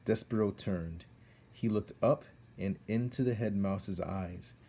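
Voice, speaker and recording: A man reading a storybook aloud as narrator, with expressive delivery. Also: fairly low pitch, measured pace, a little hiss or hum.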